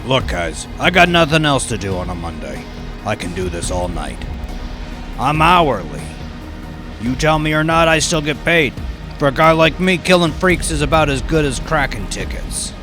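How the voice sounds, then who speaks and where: A man's voice: mid-range voice, big pitch swings, normal speed, voice pushed up, natural voice. A man voicing a cocky, menacing gangster in an audio drama, taunting and showy, with music behind him. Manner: taunting, showy, foul-mouthed interrogation. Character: cocky, menacing male gangster, sadistically amused.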